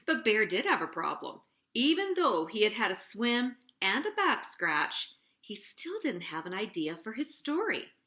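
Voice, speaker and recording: A woman hosting children's storytime, narrating a picture book in an expressive, deliberate, sing-song way. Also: medium pitch.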